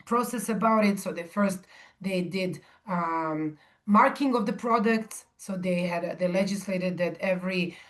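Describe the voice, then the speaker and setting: A woman in her forties, originally from Serbia, speaking to a live audience in a measured way with frequent pauses and restarts. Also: mid-range voice. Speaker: guest speaker.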